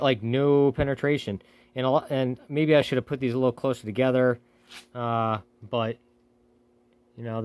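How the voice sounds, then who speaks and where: Rambling man in a garage workshop. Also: mid-range voice. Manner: rambling, self-correcting, offhand. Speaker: hobby welder, channel host. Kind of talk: casual workshop talk.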